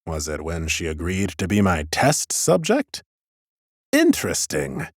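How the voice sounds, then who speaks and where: An ancient male lich, acted by a man, narrating a fantasy audiobook. He is low-voiced, precise and self-important, with lively swoops of pitch as he reasons like a curious scholar. The sound is close and dry. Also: measured pace, dry recording, full character voice. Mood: curious, calculating. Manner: precise, pompous, musing. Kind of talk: first-person audiobook character narration.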